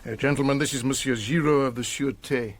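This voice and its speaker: An actor playing a polite, officious middle-aged police commissary in a radio drama. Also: male voice, medium pitch, steady tone, quick pace, natural voice. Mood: confident, officious.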